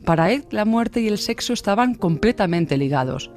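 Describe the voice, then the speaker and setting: A male narrator tells a scripted true-crime story. His voice is fairly high, and faint music runs underneath. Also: lively intonation, normal speed.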